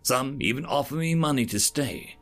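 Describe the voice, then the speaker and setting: A man's voice: medium pitch, normal speed, a bit bright, faint music behind, lightly acted. A man voicing Patricia, a wry, impatient young woman mechanic in a storybook reading. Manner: dry, impatient.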